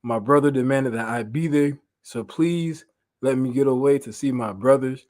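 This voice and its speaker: An American man with a mid-pitched voice leads a Bible lesson as a preacher. He moves between reading aloud and loose, slangy paraphrase. His delivery is informal and conversational, and he repeats phrases for emphasis. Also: normal speed, darkish tone.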